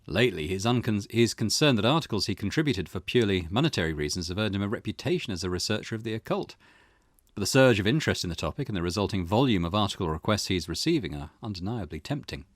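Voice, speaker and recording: A man's voice: lowish voice, animated, fast talker, no room sound, studio quality. A man hosting a gaming playthrough, reading visual novel text aloud as narration, with music behind him. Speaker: gaming channel host.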